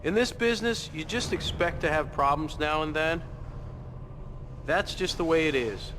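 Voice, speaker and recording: An actor playing a matter-of-fact, seasoned bike builder in a video game. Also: male voice, on the high side, normal speed, lightly acted. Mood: philosophical. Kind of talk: video game cutscene acting. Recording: long reverb, clear noise.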